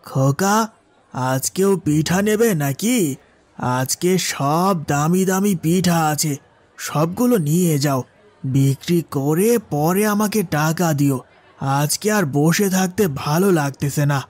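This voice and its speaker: A man's voice: fairly high pitch, slow pace, a little mellow, no room sound. A man voicing an easygoing village uncle who sells rice cakes, drawling in a cartoon story. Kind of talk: Bengali cartoon moral-story voice acting. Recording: music behind the voice.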